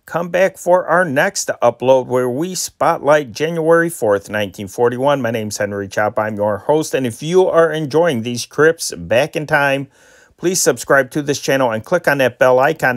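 An American man from Chicago hosting with casual, unscripted, halting and rambling talk. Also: medium pitch. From Chicago, United States. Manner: halting, rambling, hesitant phrasing. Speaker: channel host.

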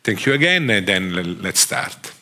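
A man with a strong Italian accent gives a lecture from a theatre stage, speaking into a headset mic. His voice is low but bright and forceful in tone. He pauses on fillers and restarts his phrases often. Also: very animated. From Italy. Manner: halting, many fillers and restarts. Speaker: guest lecturer. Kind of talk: academic lecture.

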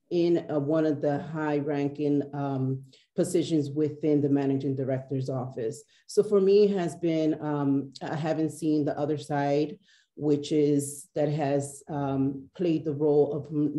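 A woman with a low, very dark and mellow voice speaks earnestly on a webcam panel. She talks haltingly, pausing often. She is in a small office with a roomy echo. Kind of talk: panel discussion on a video call. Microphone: video call webcam.

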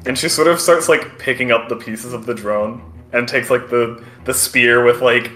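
A man running a tabletop game as game master, improvising narration in a halting, hesitant way. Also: mid-range voice, fairly even intonation, sharp tone, roomy sound, faint music behind.

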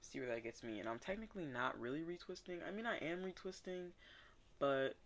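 A woman's voice: low-pitched, expressive, fairly quick. Rambling young woman vlogging from bed. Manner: rambling, loose, filler-heavy talk. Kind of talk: casual vlog chat. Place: small bedroom indoors.

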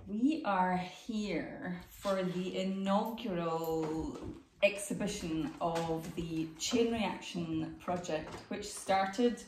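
Woman, slow and thoughtful, echoey room, faint noise. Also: on the low side, a little mellow. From Scotland. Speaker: project organiser and artist. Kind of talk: casual group chat. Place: dining room in a home. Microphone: far room camera. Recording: roomy and distant.

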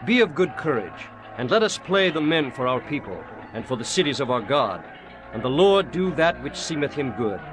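A man's voice: medium pitch, very animated, normal speed, natural voice, rough recording. A man playing an earnest, resolute ancient Israelite soldier in a dramatized scripture reading, declamatory and solemn. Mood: rallying. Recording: music behind the voice.

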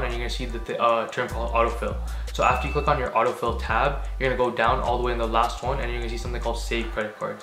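A young man from Canada giving a casual how-to talk to camera in a small home room, quick and chatty with lots of fillers. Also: medium pitch, a bit bright, roomy sound. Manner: quick and chatty, many fillers like "you know". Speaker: sneaker vlogger.

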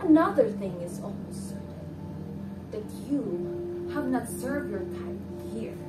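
A woman's voice: on the high side, expressive, slow. A young woman playing a proud, accusing woman in a stage play, declaiming emphatically. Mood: cold, probing. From Philippines. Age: young adult. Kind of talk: theatrical stage acting of a classic play. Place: indoor living-room stage set. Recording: roomy, distant microphone, music behind.

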